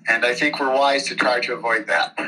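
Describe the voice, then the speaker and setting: A man giving unscripted, measured and diplomatic interview answers as a board official. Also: mid-range voice, sharp tone, a little hiss or hum, rough recording. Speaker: school board president being interviewed.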